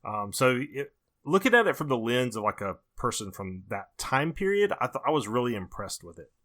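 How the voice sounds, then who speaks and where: A man's voice: mid-range voice, very animated, a little fast, a bit bright. An American man co-hosting a podcast, reviewing movies in casual conversation. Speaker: podcast co-host.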